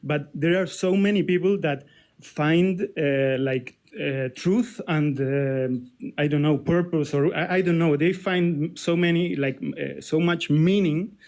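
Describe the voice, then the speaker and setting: Chilean man, slow halting caller, slightly high voice. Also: a little mellow. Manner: hesitant, many restarts and fillers.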